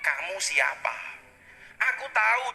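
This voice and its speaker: Indonesian male orator, high and forceful, dramatic accusatory verse delivery with swooping pitch, in a reverberant clip. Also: very animated, a little slow, vocal fry. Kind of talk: declaimed satirical verse. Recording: played-back clip, reverberant, faint music.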